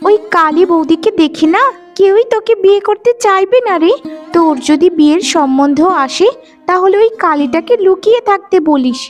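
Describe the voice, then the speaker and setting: A woman voicing a vain, meddling young female rival in a melodramatic cartoon, gossiping and goading with exclamations. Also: extremely high pitch. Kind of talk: melodramatic moral-story cartoon acting.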